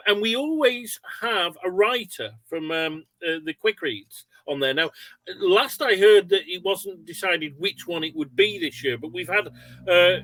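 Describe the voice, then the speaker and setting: Bright, high-voiced male webinar host. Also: creaky, no echo, poor audio. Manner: chatty, halting, rambling explanations. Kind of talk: casual spoken hosting of an online webinar. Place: indoors on an online video call.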